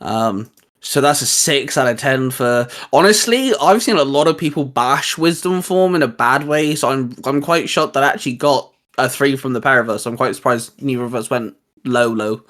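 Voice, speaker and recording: A man's voice: mid-range voice, normal speed, fairly bright tone. A man hosting a casual gaming chat, talking in an enthusiastic, rambling way. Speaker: host.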